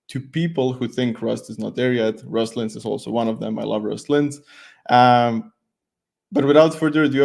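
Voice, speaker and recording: A man's voice: medium pitch, gravelly, a little mellow. A man, giving an informal tech talk with a light, self-deprecating humour. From Croatia. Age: 20s. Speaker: conference speaker, developer advocate. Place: at home, makeshift desk setup, remote video call.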